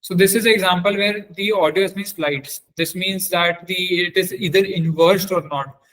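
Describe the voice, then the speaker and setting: A man teaching in a webinar, demonstrating software step by step and often halting to search for words. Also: sits high, fairly even intonation, poor audio. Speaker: webinar trainer.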